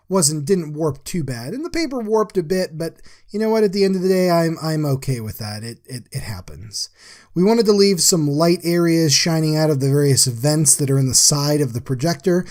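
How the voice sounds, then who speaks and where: Male artist-host, a little high in pitch, informal and chatty, casual art recap narration. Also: darkish tone. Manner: chatty, informal, addresses viewers as guys. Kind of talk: casual drawing recap voice-over.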